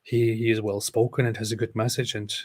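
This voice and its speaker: A man's voice: fairly low pitch, level tone, a little fast, darkish tone, dry recording. A man hosting a casual livestream, talking in a rambling, sarcastic way with many fillers and restarts. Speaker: livestream host reading super chats.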